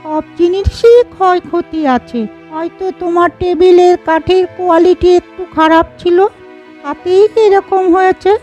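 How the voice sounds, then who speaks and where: A woman voicing a kind, wise old wooden table that speaks gently and reassuringly in a children's cartoon. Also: extremely high pitch, steady tone, a little slow, lo-fi sound, faint music behind. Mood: consoling. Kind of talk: children's moral cartoon dialogue.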